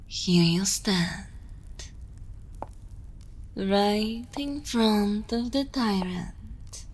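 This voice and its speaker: A woman playing an imperious, seductive evil queen in an ASMR roleplay, menacing yet motherly, with a deliberate, dominant delivery that often drops into whispers. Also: mid-range voice. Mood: wry, intimate.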